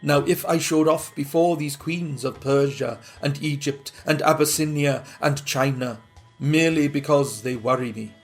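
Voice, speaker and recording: A man voicing a wise, gentle king in a storybook reading, speaking mildly and measuredly. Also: fairly high pitch, faint music behind, natural voice. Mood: patient. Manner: mild, measured, kindly.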